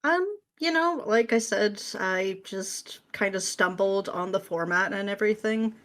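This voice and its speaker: A woman with a medium-pitched voice answers questions as an interview guest. Her talk is casual and informal, with a self-deprecating streak. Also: a little slow, fairly bright tone. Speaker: interview guest, script writer.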